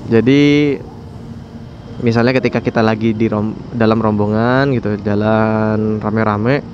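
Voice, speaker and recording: A man's voice: fairly low pitch, fairly even intonation, a little slow, darkish tone, muffled recording, a little hiss or hum. A man talking casually to viewers as a motorcycle vlogger, explaining things conversationally and often checking in with his listeners. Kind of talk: casual motovlog talk to viewers.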